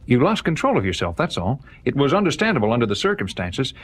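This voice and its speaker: An actor playing an authoritative government official in an audio drama, speaking formally and earnestly. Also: male voice, mid-range voice, big pitch swings, quick pace, slight background noise, lightly acted. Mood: calm. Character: calm, authoritative government official, adult man.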